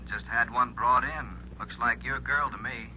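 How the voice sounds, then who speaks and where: A man's voice: mid-range voice, steady tone, moderate pace, muffled recording, soft background music. Casual morgue man through telephone filter. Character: casual, forgetful male morgue attendant calling by phone. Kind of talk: 1940s radio drama acting. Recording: thin old radio, faint hum.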